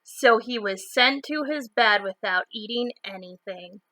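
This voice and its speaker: A woman reading a picture book aloud, dramatically stressing some phrases and hushing others. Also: fairly high pitch, measured pace, dry recording, low-quality recording. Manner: dramatic, emphatic phrases alternating with hushed ones. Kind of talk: expressive children's read-aloud.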